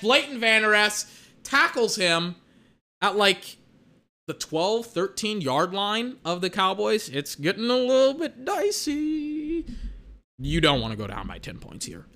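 A young man with a bright, ringing voice sitting slightly high calls a football game live from his desk. He talks fast and loose, full of filler words, breaking off to react to big plays. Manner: excitable, peppered with filler words and mock voices. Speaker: watch-along football commentator. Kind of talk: casual live play-by-play and analysis.

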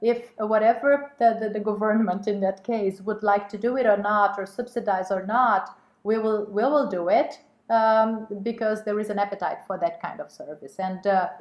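A woman's voice: medium pitch, fairly even intonation, normal speed, a little mellow. Woman guest, stops and restarts a lot, echoey room. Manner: hesitant, many fillers and restarts. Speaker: guest, women's network co-chair. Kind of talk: casual interview talk.

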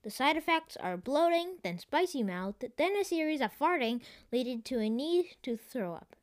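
Woman as a stern, high-voiced spaceship computer. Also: animated, moderate pace, dry recording, clean studio sound. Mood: sceptical. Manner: scolding, matter-of-fact. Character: stern, sarcastic UFO computer companion.

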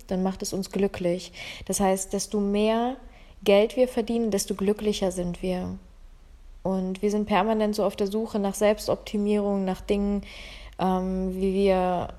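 A woman's voice: mid-range voice, a little mellow. A young adult woman from Ukraine hosting a solo podcast, talking in a reflective, conversational way with frequent fillers. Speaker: podcast host.

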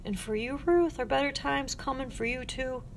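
A woman's voice: medium pitch, moderate pace, a little hiss or hum, lightly acted. Woman acting a lonely, pleading young girl. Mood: earnest, sad. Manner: pleading, earnest. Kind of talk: audiobook character voice.

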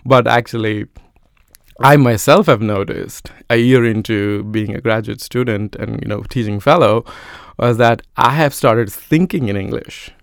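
A man's voice: mid-range voice, measured pace, gravelly, clean tone, dry recording, clean studio sound. A man from India, a Telugu speaker, talking thoughtfully as an interview guest with lots of fillers. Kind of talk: conversational interview.

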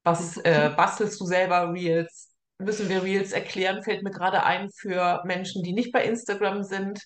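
A woman's voice: medium pitch, little pitch movement, moderate pace, a little hiss or hum. A woman hosting a casual, chatty interview, recorded with an echoey, roomy sound.

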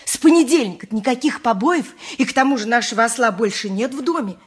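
An actress playing a donkey driver's simple wife in a radio play, speaking in a naive, matter-of-fact way. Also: female voice, a little high, expressive, fast, breathy edge. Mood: naive, pleased. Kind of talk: 1980s radio play monologue.

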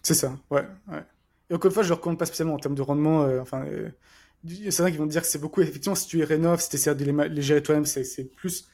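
A man's voice: a little high, steady tone, very fast, warm tone, slightly rough. A man chatting casually as a podcast co-host, rambling with lots of fillers and restarts. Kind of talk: casual chat.

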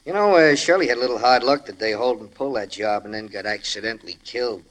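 A man's voice: mid-range voice, natural voice, low-quality recording. Man playing a sly, slangy radio butler. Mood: slyly probing. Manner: insinuating, folksy, hesitant pauses. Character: nosy, sly household butler with working-class slang. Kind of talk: 1940s radio crime drama acting. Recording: old-time radio broadcast recording.

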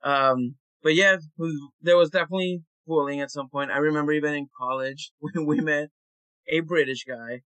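A man, an actor, talking casually in an interview, relaxed and hesitant with frequent fillers. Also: on the high side, normal speed, dry recording, poor audio.

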